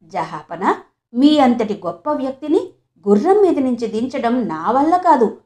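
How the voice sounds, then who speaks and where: A woman storyteller voicing a witty royal minister who is respectful to his king and quietly sure of himself. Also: high-pitched, very animated, measured pace. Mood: deferential, sly.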